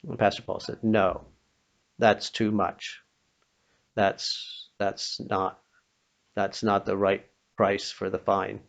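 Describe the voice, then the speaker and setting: A man telling a story informally, acting out the dialogue, with a halting, pausing delivery and a creaky voice. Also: fairly low pitch, level tone. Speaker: storyteller, pastor. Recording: dull, muffled lo-fi recording.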